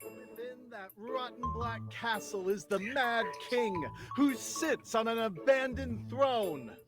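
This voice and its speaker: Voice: mid-range voice, takes it slowly, over music, close to an everyday voice. An actor voicing a zealous robed acolyte in a fantasy game, declaiming solemnly and dramatically about doom and deliverance. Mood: grim, denouncing.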